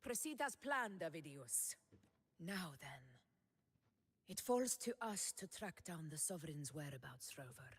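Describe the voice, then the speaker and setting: A woman's voice: low voice, sing-song, unhurried, breathy edge, heavily acted. A woman playing a noble, resolute warrior commander in a video game, speaking formally and with command. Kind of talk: dramatic game voice acting. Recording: long hall reverb.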